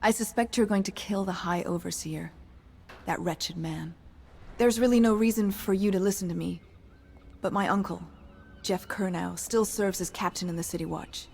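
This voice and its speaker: An actress playing an earnest, worried young servant woman in a video game, polite and quietly urgent. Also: mid-range voice, steady tone, moderate pace, gravelly, slight background noise, close to an everyday voice. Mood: anxious, pleading. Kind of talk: video game character acting.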